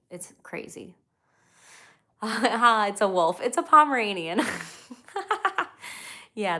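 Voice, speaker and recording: A woman from Ukraine, a native Russian speaker, casually chatting on her livestream as a comedian and podcast host. She reads viewer comments aloud and thinks out loud with easy pauses. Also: low-pitched. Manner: easygoing, thinks aloud with frequent ums, reads chat comments aloud. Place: at home, brushing her dog.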